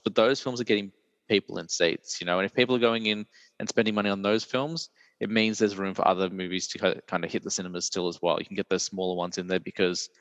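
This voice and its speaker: A man, hosting a casual film chat in a deep, croaky voice while he recovers from illness, rambling with many fillers. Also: a bit bright, no room sound, in a large hall. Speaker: podcast host.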